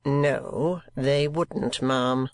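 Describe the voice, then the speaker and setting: A woman voicing a blunt, stern gentleman in a storybook reading, speaking in a clipped, deliberate way. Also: extremely low pitch, steady tone, no echo, clean studio sound, exaggerated voice.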